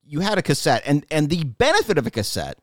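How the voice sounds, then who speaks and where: A man's voice: on the high side, wildly swooping pitch, fast talker, a bit bright, no room sound. Middle-aged man with a dry, close sound. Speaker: podcast co-host. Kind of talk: casual sports and culture chat.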